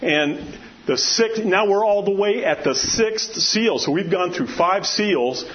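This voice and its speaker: High-pitched man preaching, loud and informal. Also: animated, slow. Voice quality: loud, carrying voice. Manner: conversational, emphatic, poses questions to listeners. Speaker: preacher teaching a Bible study. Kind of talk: informal sermon with scripture readings and personal asides. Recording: dull lo-fi sound, clear background noise.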